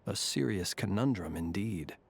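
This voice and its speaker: A man voicing a courteous, eccentric graveyard warden in a video game, speaking in a formal, measured, genteel way. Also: deep voice, animated, creaky, husky, heavily acted. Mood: wry, courteous. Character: courteous, eccentric gentleman graveyard warden, calm and faintly eerie. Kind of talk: video game character dialogue.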